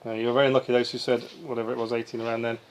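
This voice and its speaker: Man, mid-pitched, live quizmaster reading out questions, faint noise around him. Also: flat delivery, rapid-fire, poor audio. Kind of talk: live pub-style quiz reading.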